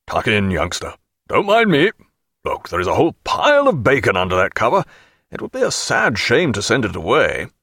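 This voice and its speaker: A man's voice: mid-range voice, wildly swooping pitch, normal speed, bright, forceful tone, husky, no echo, clean studio sound, exaggerated voice. A man voicing a genial, hearty naval captain with a bluff, courteous, old-fashioned way of talking, in an audiobook. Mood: hearty, welcoming.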